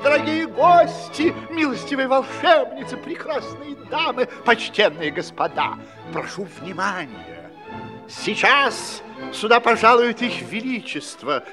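Fussy court master of ceremonies, very high voice, swooping intonation, pompous, flowery, storybook audio drama. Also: male voice, exaggerated voice. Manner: ceremonious, excitable, flowery announcing. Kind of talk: Russian fairy-tale audio play acting.

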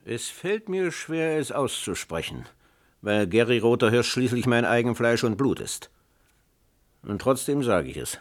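An actor playing a stern, grieving old grandfather in a German radio play, speaking gravely and with emphasis. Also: medium pitch, sing-song, unhurried. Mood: grave resolve.

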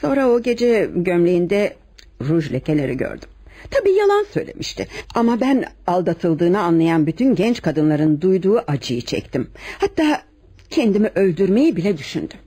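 A wife under police questioning in a radio play. Her voice is lowish, husky and soft-toned. She starts hesitantly, then parries with cool, defensive politeness. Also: very animated, moderate pace. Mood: wounded, defiant. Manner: hesitant openings, coolly polite, parrying questions. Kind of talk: radio theatre acting.

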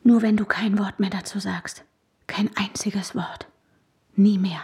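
A woman's voice: mid-range voice, steady tone, unhurried, dark tone, slightly rough. An actress in a radio drama playing a traumatised, obsessive woman who narrates her ordeal intensely and breathily, often dropping to a whisper. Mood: urgent, agitated. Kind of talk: radio drama acting with inner monologue.

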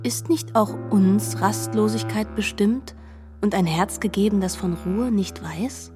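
A woman's voice: medium pitch, unhurried, over music. A woman giving a composed, articulate reading of literary narration. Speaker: narrator of a literary radio adaptation.

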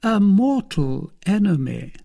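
A man voicing a proud, seductive and vindictive noblewoman in an audiobook of a classic novel, speaking in a deliberate, theatrical way. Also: high-pitched, big pitch swings, gravelly, warm tone, pitched above the performer's own voice, exaggerated voice. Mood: grave, resolute.

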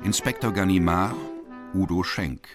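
A man reading the title and cast of a radio drama as a formal, measured announcer. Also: low-pitched, over loud music. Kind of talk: scripted credits announcement.

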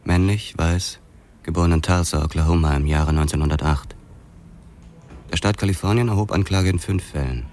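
A man's voice: low-pitched, normal speed, a little mellow, slight background noise, close to an everyday voice. An actor playing a world-weary police detective who narrates his story in a hardboiled crime radio drama. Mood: dry, sardonic.

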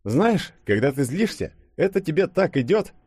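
A man's voice: on the high side, lively intonation, brisk, a little mellow, dry recording, studio quality, pitched above the performer's own voice. A man voicing a wry, sarcastic young man with a light, ironic manner in an audiobook.

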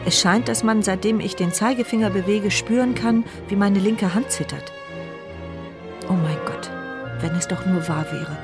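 A woman voices a mute, paralyzed young woman who tells her story from the inside, in a radio drama. She speaks in a reflective, intimate way at a middle pitch. Faint music plays behind her. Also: moderate pace, close to an everyday voice. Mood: determined. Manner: intimate, reflective inner narration. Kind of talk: radio play inner monologue.

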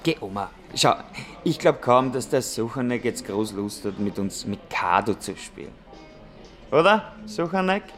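A boastful, false-friendly Austrian fellow in a radio drama. His delivery is breezy and needling, with very lively pitch movement over faint music. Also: measured pace. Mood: smug. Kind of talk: naturalistic radio drama acting.

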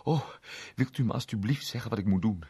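A man's voice: lowish voice, a little fast, dark tone, breathy edge. A man voicing a flustered, nervous elderly woman in a storybook narration, stammering and repeating words as the character pleads. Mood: agitated pleading.

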